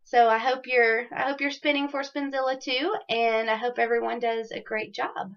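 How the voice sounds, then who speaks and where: A woman hosting a knitting video podcast, chatting casually with many ums and sounding a little raspy from a cold. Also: on the high side, flat delivery, moderate pace. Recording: dull lo-fi audio.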